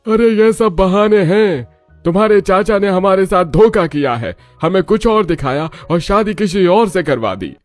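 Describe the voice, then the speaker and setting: A man voicing an outraged, accusing in-law in a Hindi cartoon story, speaking loudly. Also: high voice, animated, normal speed, dry recording, faint music behind, voice pushed up. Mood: angry, accusing. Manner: loud, accusing.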